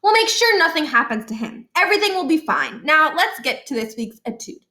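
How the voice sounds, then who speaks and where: Earnest young prankster boy for a storybook, read by a woman: high, sharp, courteous, explanatory, echoey sound. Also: sing-song, a little fast, full character voice. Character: Niles, earnest, scheming, over-thinking schoolboy prankster.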